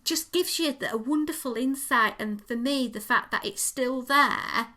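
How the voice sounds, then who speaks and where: A woman co-hosting a casual podcast, chatty and gushing, with a voice going croaky. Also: high-pitched, moderate pace, pushed and bright. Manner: gushing, enthusiastic about details. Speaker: podcast co-host.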